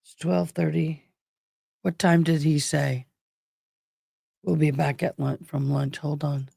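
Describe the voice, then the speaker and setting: A woman's voice: sits low, little pitch movement, slow, vocal fry, dark tone, breathy edge, no room sound, clean studio sound. A tired woman hosting a casual livestream, her voice worn out and struggling, who talks affectionately to her viewers. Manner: affectionate, calls viewers babe and love. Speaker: livestream host covering a trial.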